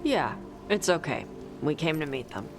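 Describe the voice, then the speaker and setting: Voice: medium pitch, big pitch swings, moderate pace. An actor voicing a blunt young Qunari warrior, curt and casual. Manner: curt, casual, few words. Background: music.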